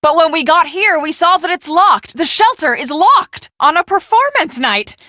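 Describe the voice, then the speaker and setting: A woman playing an earnest, take-charge storm-chasing project manager in a video game, speaking in a brisk, businesslike way. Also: very high-pitched, lively intonation, bright, forceful tone, no echo, studio quality, exaggerated voice. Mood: urgent, serious.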